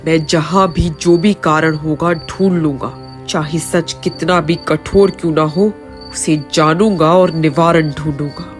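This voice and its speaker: Woman playing a slow, earnest village father. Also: low-pitched, steady tone, voice pushed down. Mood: grim, determined. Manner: earnest, troubled, solemn. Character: anxious, earnest poor village man, a father. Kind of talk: animated Hindi moral story voice-over. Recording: music behind the voice.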